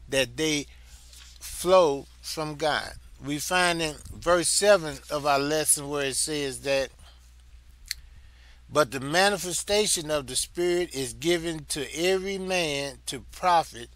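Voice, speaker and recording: An older man with a Southern US accent, teaching a Bible lesson in a slow, deliberate way and repeating phrases for emphasis. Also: a little high, sharp tone. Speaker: Sunday school teacher.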